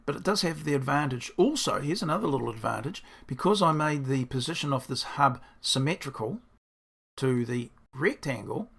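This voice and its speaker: Croaky-voiced man explaining his build. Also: medium pitch, expressive, moderate pace, a bit bright. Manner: rambling, thinking aloud, self-deprecating. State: croaky, hoarse. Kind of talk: casual diary-style explanation.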